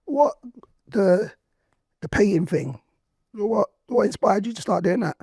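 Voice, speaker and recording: Guy hosting a podcast, voice shot and husky, dark tone, dry close sound. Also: high-pitched, a little slow, dry recording. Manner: hoarse, excitable street slang. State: losing his voice. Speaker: podcast host. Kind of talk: casual interview chat.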